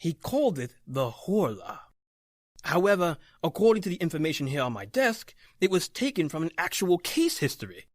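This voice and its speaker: A man playing a grave, authoritative occult doctor in an old-time radio horror drama, speaking emphatically and deliberately. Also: on the high side, very animated, dry recording, studio quality. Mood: solemn, explanatory. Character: grave, authoritative male doctor battling the supernatural.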